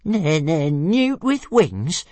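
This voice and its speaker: A man's voice: a little high, very animated, drawn-out words, a little mellow, dry recording, voice pushed up, full character voice. A man voicing a small, boastful male dragon who stutters on the first sounds of his words. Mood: grumpy.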